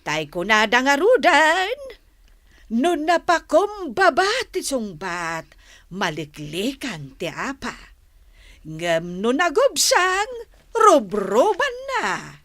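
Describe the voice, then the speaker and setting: Patient Filipino woman shopkeeper, radio comedy: unhurried, high-pitched, counselling with emphasis. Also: very animated, a bit bright, dry recording, studio quality, heavily acted. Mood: advising. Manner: advising, emphatic. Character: motherly woman shopkeeper giving patient counsel on keeping peace. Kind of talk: comic radio drama acting.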